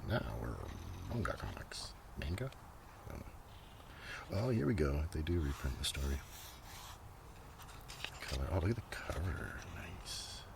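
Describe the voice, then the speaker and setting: A man speaking in a soft, casual ASMR ramble that often slips toward a whisper, with unhurried murmured asides. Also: a bass voice, very animated, vocal fry. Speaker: host of a comics collection ASMR video. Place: outdoors. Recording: noisy outdoor recording with breeze. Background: breeze, page flipping, ambient noise.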